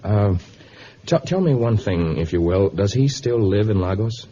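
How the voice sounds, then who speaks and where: Man playing earnest jungle hero, very low mellow voice, halting polite questions, old radio drama. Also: animated, lightly acted. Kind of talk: vintage radio drama acting. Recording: muffled, hissy old radio recording.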